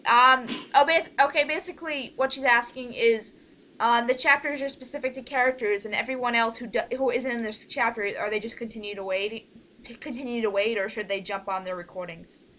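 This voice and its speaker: A woman with a fairly high voice organizes a casual group meeting, explaining instructions in an informal, step-by-step way. There is faint noise on the home call recording. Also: fairly even intonation, fairly quick, bright, forceful tone. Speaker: organizer leading a voice project meeting.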